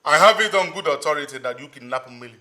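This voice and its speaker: An actor playing a forceful man making threats, with commanding speech. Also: on the high side, lively intonation, fast. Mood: threatening. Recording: faint music behind.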